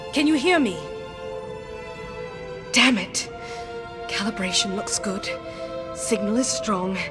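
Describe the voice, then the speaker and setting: A woman's voice: medium pitch, lightly acted. Solemn woman warrior over music acted. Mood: anxious, frustrated. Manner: formal, measured, earnest delivery. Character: solemn, loyal woman warrior sworn to her queen. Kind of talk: dramatic video game cutscene acting.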